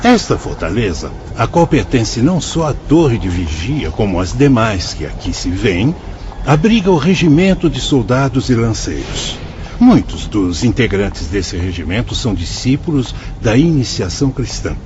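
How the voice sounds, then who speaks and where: A man voicing a wise male spirit guide with a Brazilian Portuguese accent, speaking in measured, patient explanations in a radio drama. His pitch rises and falls in a lively way. The recording sounds dull and muffled. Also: background noise, natural voice. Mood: patient, instructive. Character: calm, wise adult male spirit instructor.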